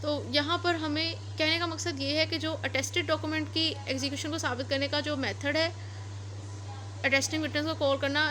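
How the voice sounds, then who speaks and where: A woman with a Pakistani accent teaches law in a high-pitched, bright voice. She reads out formal clauses, then explains and rephrases them step by step. There is faint noise behind her. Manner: repeats and rephrases points step by step. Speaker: law lecturer. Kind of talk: explanatory lecture mixing read-out English legal text with Urdu explanation.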